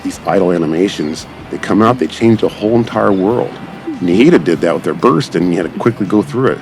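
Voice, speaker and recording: Male gaming creator, deep voice, casual enthusiastic rambling commentary, music underneath. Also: a little mellow. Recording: background music behind the voice.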